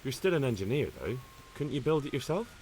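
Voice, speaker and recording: A man's voice: mid-range voice, very animated, brisk, darkish tone, natural voice. A man playing an eager, plain-spoken young medieval man-at-arms in a game, direct and earnest. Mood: earnest, willing. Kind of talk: dramatic game cutscene acting. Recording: faint hiss and background noise.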